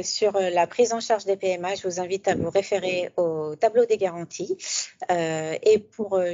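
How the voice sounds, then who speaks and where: A woman speaks as an expert, explaining insurance details with plenty of hesitations. Her voice is mellow and warm. The recording sounds dull and lo-fi. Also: lowish voice. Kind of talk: informational interview answers.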